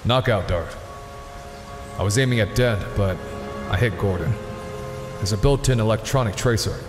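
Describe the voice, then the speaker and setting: A man's voice: fairly low pitch, moderate pace, close to an everyday voice. Male actor playing a grim masked vigilante, terse, echoing reverb, music underneath, audio drama. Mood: grim, focused.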